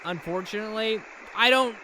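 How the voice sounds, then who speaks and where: A man with a higher-than-usual voice hosts a reaction video. He reads on-screen text aloud and keeps dropping into slangy, casual asides. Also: fairly quick, noisy background. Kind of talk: casual reaction commentary, translating and reading subtitles aloud.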